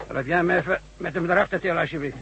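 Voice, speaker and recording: A man's voice: fairly high pitch, rapid-fire, gravelly, lo-fi sound, very noisy. An actor playing a blunt, colloquial construction foreman who gives orders in a radio drama. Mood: concerned. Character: urgent, rough-and-ready construction site foreman.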